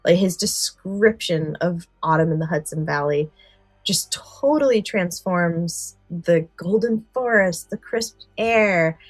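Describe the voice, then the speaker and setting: A woman's voice: lowish voice, slow, no echo, soft background music. A woman co-hosting a podcast, explaining a favourite subject with enthusiasm, moving between prepared summary and casual discussion. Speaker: podcast co-host.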